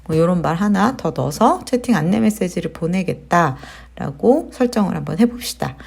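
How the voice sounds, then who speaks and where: A woman with a slightly low voice narrates a software tutorial as its host. She explains each step in a conversational way, often posing questions to the viewer. The delivery is that of casual, practical instruction. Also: lively intonation, normal speed, vocal fry, a little hiss or hum. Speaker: tutorial host, digital marketer.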